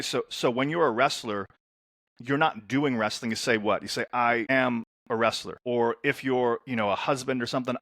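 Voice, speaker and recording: A man's voice: medium pitch, fairly bright tone, no echo, studio quality. A man from Lebanon who grew up in the States, a coach talking as a podcast guest in an upbeat, storytelling way that often doubles words as he starts a sentence. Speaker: men's transformation coach, guest. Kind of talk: casual interview talk.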